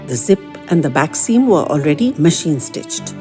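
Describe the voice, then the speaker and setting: A woman narrating a first-person story in a gentle, expressive reading with lingering phrases. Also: low voice, moderate pace, long reverb tail, faint music behind.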